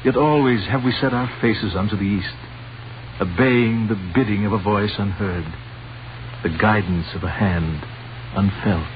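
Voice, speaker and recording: A man's voice: on the low side, measured pace, natural voice. An actor playing a devout Greek wise man in a 1930s radio drama, declaiming solemnly in archaic biblical speech. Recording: muffled, noisy 1930s radio recording.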